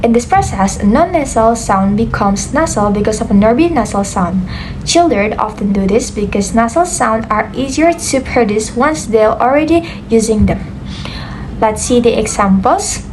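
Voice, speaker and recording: A young adult woman giving a student class presentation, often pausing with "uh" and "okay". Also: fairly high pitch, lively intonation, noisy background. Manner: hesitant, frequent "uh" and "okay".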